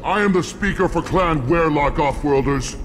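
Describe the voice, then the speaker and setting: An actor playing a proud, menacing alien clan herald in a video game, loudly declaiming. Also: male voice, on the high side, normal speed, soft background music, lightly acted. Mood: threatening, proclaiming. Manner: declamatory, loud. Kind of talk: video game character dialogue.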